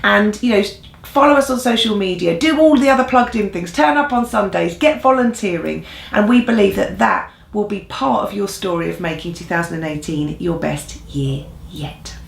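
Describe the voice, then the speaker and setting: A woman's voice: mid-range voice, moderate pace, gravelly. A woman co-hosting an informal church news update in an earnest, encouraging way. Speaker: church newsreel co-host. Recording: echoey room sound.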